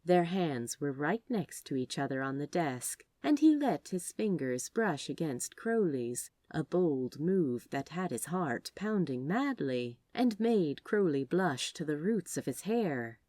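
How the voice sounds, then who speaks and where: A woman reading a story aloud as a narrator, in an expressive, scripted storytelling style. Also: low-pitched, measured pace, hardly any pauses, no room sound. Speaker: audiobook narrator of fan fiction.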